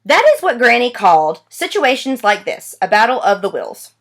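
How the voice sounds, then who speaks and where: Female reader, storybook read-aloud, expressive with lively pitch. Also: normal speed. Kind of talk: reading a children's novel aloud.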